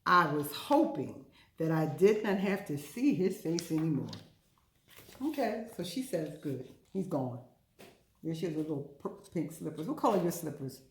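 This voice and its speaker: A woman's voice: low voice, animated, normal speed, reverberant, slight background noise. A woman hosting storytime for young children, playful and chatty, putting questions to her listeners as she goes.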